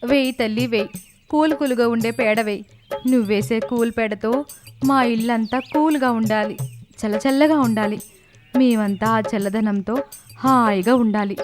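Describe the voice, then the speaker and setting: Woman as young village daughter-in-law, high, emphatic, resourceful, cartoon tale with music bed. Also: natural voice. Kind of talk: cartoon moral story dialogue. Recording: music clearly behind the voice.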